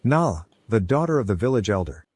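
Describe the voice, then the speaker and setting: A man's voice: fairly low pitch, sing-song, brisk, darkish tone, breathy edge, dry recording. A man narrating a scripted folk tale as a storyteller.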